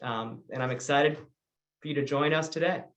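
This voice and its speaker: A man's voice: on the high side, normal speed, a bit bright. A man hosting a business investor webinar, presenting in an organized way with occasional ums. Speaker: webinar host and real estate broker. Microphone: video call.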